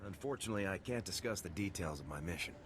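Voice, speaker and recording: Brisk, resolute soldier. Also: male voice, low-pitched, lively intonation, noisy background. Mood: businesslike. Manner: terse, matter-of-fact. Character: resolute, terse male army lieutenant, the hero. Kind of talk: video game cutscene acting. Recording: game soundtrack music behind.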